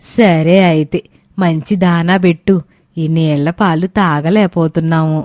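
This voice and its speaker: A woman's voice: low voice, fairly even intonation, a little slow, a little mellow, dry recording, clean studio sound, pitched below the performer's own voice. A woman voicing a complaining, demanding old village woman customer in a storybook tale. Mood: protesting. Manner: complaining, argumentative. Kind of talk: acted dialogue in a moral fairy tale.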